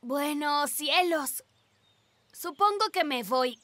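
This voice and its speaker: An actor voicing a timid, gentle young polar bear in a children's cartoon, speaking in a mild, earnest way. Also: sits high, expressive, slow, no room sound, full character voice. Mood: hesitant. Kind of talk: animated children's cartoon dub. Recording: music behind the voice.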